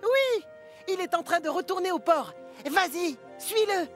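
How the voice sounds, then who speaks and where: A cheerful male train, extremely high-pitched and eager to help. It speaks reassuringly in children's cartoon style over faint music. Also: animated, a little slow, fairly bright tone, full character voice. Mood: urgent, reassuring. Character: cheerful, helpful male locomotive. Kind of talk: French-dubbed children's cartoon acting.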